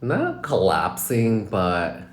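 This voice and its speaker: A man's voice: lowish voice, wildly swooping pitch, very slow. A man co-hosting a casual podcast, telling candid personal stories and laughing often. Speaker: podcast co-host. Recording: echoey room.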